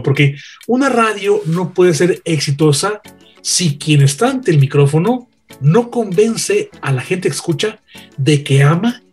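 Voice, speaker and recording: A husky-voiced Mexican man, a veteran announcer, spins earnest radio anecdotes in a fairly high register. Faint music plays behind him. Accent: Mexican Spanish. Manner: storytelling, earnest and didactic. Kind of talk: anecdotal conversation.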